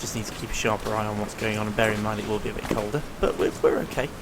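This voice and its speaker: A man's voice: fairly low pitch, steady tone, racing, noisy background. A man with a strained, failing voice, giving easygoing let's-play commentary and explaining the game as he plays, with plenty of ums and uhs. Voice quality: rough, tired-sounding voice. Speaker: gameplay commentator.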